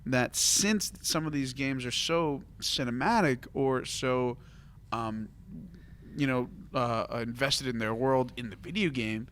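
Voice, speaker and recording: A man's voice: medium pitch, takes it slowly, fairly bright tone, a little hiss or hum. Blunt man chatting in a mid-range voice. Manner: blunt, informal, profane. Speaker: podcast co-host. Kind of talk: casual panel discussion.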